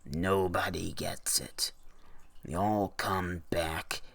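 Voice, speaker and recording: A man's voice: sits low, fairly even intonation, extremely slow, gravelly, a bit bright, no echo, full character voice. A man voicing a weary, grim antique shopkeeper in a horror story, with a folksy, ominous way of talking.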